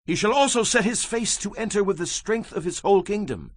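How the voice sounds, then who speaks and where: A man's voice: sits high, expressive, a little fast, no room sound. A man giving a solemn, declamatory dramatized reading of scripture as a Bible narrator.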